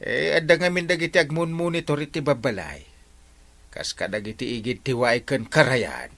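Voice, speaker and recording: A man with an Ilocano accent acting an excitable village captain in a comedy radio drama, full of drawn-out exclamations. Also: medium pitch, gravelly. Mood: cheerful, emphatic.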